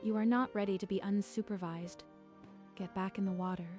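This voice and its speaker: Actress as firm female instructor, mellow voice. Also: medium pitch, fairly even intonation, fairly quick, faint music behind, close to an everyday voice. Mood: stern. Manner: commanding, insistent. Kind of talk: scripted manga dub acting.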